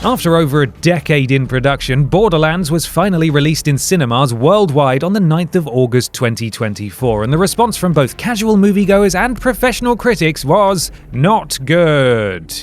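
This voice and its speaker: A man's voice: a little high, sing-song, moderate pace. A man reading a scripted video essay narration, with dry, sarcastic asides.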